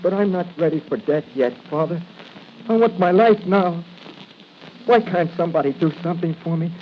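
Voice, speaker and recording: An actor playing a desperate, emotional young prisoner condemned to die, in 1940s radio melodrama on a muffled, hissy recording. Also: male voice, high-pitched, normal speed, natural voice. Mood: anguished, bitter. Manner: emotional, anguished.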